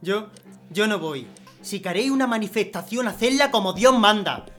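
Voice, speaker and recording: A man's voice: high voice, expressive, normal speed, fairly bright tone, soft background music. A man playing a scornful, sarcastic brother scolding his family in an audio drama.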